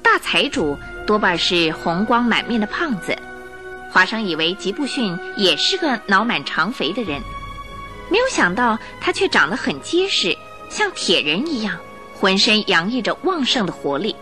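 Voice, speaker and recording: A woman's voice: mid-range voice, sing-song, moderate pace, music in the background. A woman narrating a radio drama from a script in a dramatic storytelling style.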